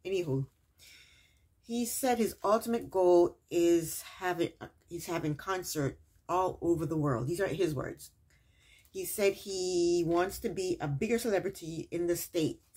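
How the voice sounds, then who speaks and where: Husky-voiced sarcastic woman reviewer. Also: on the low side, darkish tone. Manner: sarcastic, chatty, repeats punchlines. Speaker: reality TV recap vlogger. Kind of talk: casual episode review.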